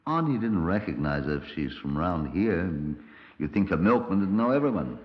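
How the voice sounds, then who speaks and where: Low low british detective sergeant, clipped and understated, old radio play, muffled and echoey. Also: male voice, very animated, normal speed, slightly rough, full character voice. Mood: matter-of-fact. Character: laconic, matter-of-fact Scotland Yard detective sergeant. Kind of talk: 1950s radio drama acting. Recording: dull, reverberant 1950s radio recording.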